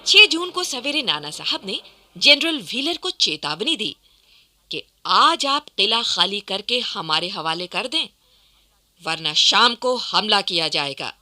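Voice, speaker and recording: Bright, animated woman narrator. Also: mid-range voice, a little slow, soft background music. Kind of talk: dramatic scripted radio narration.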